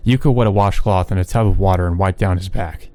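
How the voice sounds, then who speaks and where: A man's voice: sits low, fast, a little mellow. A man reading a visual novel aloud and commenting casually as he plays. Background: game music.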